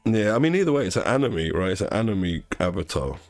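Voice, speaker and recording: A man's voice: on the low side, sing-song, quick pace, no room sound. A man streaming a game, talking in a casual, rambling way with a nasal voice and frequent restarts. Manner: rambling, self-correcting, chatty. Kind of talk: casual chat while gaming.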